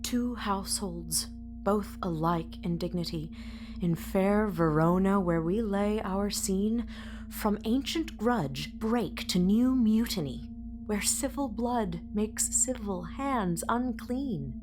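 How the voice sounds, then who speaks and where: A woman playing the grave chorus of a tragedy, reciting Shakespearean verse in a formal, measured way. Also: mid-range voice, over music, natural voice. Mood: solemn. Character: solemn chorus narrator of a tragedy.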